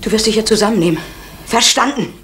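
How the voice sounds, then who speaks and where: Actress as controlling mother, animated pitch. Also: fast talker, over music, lightly acted. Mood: stern. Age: middle-aged. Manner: urging, reproachful. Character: pragmatic, controlling mother of a grown son. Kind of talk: East German radio drama acting. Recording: old radio recording, faint noise.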